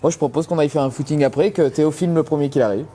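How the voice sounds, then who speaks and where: A man's voice: fairly high pitch, racing, background noise. A man in a couple quiz, cracking jokes, telling little stories, repeating himself as he gets going. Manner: jokey storyteller, stammers and repeats himself. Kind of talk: joking casual banter.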